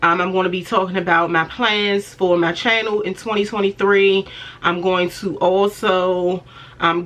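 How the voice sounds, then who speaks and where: Chatty woman in her forties, a little raspy. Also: medium pitch, a bit bright. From Washington DC area. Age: 40s. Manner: chatty, affectionate, many fillers, laughs often. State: slightly raspy and stuffy after illness. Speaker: fashion vlogger. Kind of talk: casual talk to camera.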